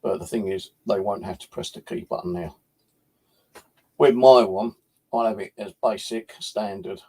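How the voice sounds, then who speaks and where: A man's voice: fairly low pitch, normal speed, darkish tone. A British man chatting casually on a livestream as its host, rambling and hesitant in an informal way.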